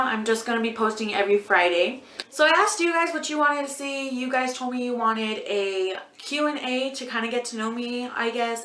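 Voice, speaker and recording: A woman's voice: fairly high pitch, sharp tone, slight background noise. A twenty-year-old woman answering viewer questions as a beauty vlogger in a casual, rambling way, full of ums and likes. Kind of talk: casual Q&A chat. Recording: echoey room.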